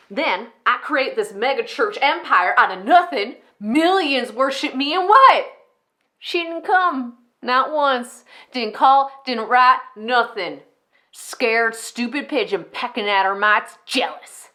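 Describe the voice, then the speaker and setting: A woman's voice: mid-range voice, a bit bright, heavily acted. A woman playing a narcissistic, contemptuous female megachurch founder in a ranting, mocking dramatic monologue. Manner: ranting, mocking, swinging from scorn to outburst. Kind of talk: dramatic solo monologue acting.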